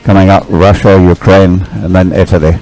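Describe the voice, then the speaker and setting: A man's voice: low voice, level tone, moderate pace, warm tone, soft background music, low-quality recording. A man giving live race commentary as a sports commentator, in a hesitant way, as if thinking aloud.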